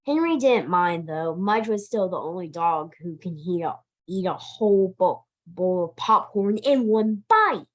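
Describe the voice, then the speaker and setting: Woman reading slowly and expressively to children. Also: fairly low pitch. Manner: expressive, emphatic, occasionally stumbling over words. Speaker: library director reading a picture book aloud. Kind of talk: animated children's storytime read-aloud.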